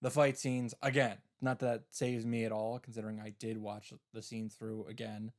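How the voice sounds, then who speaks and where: A man's voice: lowish voice, little pitch movement, moderate pace. A man giving casual commentary on a game playthrough, with wry, self-interrupting asides.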